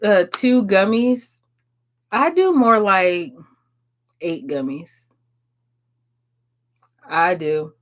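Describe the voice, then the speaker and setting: A woman's voice: mid-range voice, slight background noise. A woman, chatting frankly and casually on a live stream, swearing and laughing often. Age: 30s. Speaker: livestream host answering viewers.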